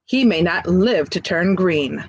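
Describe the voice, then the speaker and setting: A woman's voice: fairly low pitch, expressive, unhurried, husky, dry recording, lo-fi sound. A woman voicing a lazy, grumbling green snake in a picture book, groaning and complaining. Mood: gloomy.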